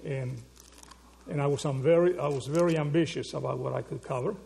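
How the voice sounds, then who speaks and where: A male preacher with a gravelly, creaky edge to his voice. He sits slightly high for a man and works through his points with rhetorical questions, checking in with the congregation again and again. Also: brisk, a little mellow. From Argentina. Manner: rhetorical questions, frequent "okay?" and "right?" check-ins. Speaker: pastor. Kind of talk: sermon.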